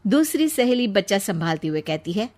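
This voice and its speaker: A woman's voice: mid-range voice, very animated, moderate pace, a little mellow. A woman reading a scripted cartoon story as its narrator.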